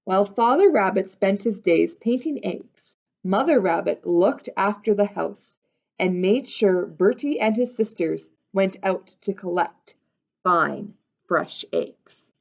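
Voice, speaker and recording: A woman reading a picture book aloud to young listeners in an expressive, unhurried way, adding simple explanations in casual asides. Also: mid-range voice, gravelly, muffled recording.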